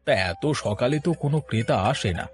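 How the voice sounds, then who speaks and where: A man's voice: mid-range voice, fast talker, close to an everyday voice. Man acting a kind, honest shopkeeper. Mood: pleased. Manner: welcoming, earnest, explanatory. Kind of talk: Bengali moral-story cartoon dubbing.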